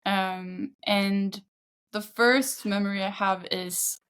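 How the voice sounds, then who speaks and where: A woman's voice: medium pitch, steady tone, slow, fairly bright tone, smooth. A woman in her thirties from Sweden with a Swedish accent, chatting casually as a guest in an interview. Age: 30s. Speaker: podcast guest, football agent.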